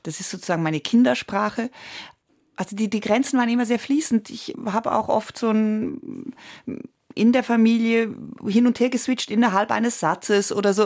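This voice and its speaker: A woman, an actress whose first language is Italian, answering interview questions in a reflective, searching way with frequent hums and soft laughs. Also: mid-range voice. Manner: thoughtful, searching, with many hums and small laughs.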